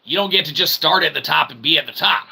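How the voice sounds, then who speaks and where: A man with a slightly high voice full of gravelly creak rambles casually to his audience. He is folksy and self-deprecating, often checking in with little tag questions. The recording has clear background noise. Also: fast. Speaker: host of a web show.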